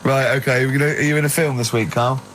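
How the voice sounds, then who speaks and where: A man's voice: medium pitch, fairly even intonation, quick pace, over music. A man hosting a comedy radio show with casual, needling banter. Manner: teasing, needling.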